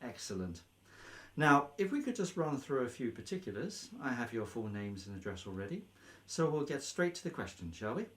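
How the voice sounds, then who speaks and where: A male actor playing a breezy, nosy, officious vicar in a radio comedy, briskly polite and openly biased. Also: medium pitch, very animated, lightly acted. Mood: polite, businesslike. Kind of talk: radio play comedy acting.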